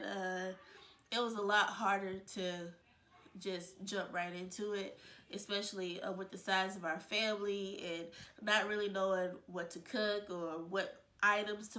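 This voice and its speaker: A woman's voice: medium pitch, a bit bright. Stuffy-nosed lady vlogging, chatty and rambly, lots of um and uh. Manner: chatty, many fillers and restarts. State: stuffed up, congested. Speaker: vlogger, channel host. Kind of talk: casual chat to camera.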